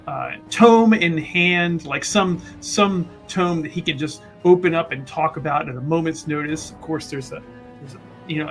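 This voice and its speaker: A man's voice: a little high, a bit bright. A man playing a tabletop roleplaying game, casually improvising a description of his character in a rambling, hesitant way.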